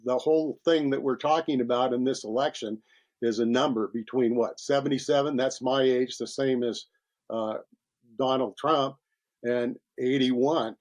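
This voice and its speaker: A man's voice: medium pitch, fairly even intonation, normal speed, a little mellow. A man in his seventies, a voter making his case in a wry, argumentative way. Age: 70s. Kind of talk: interview clip.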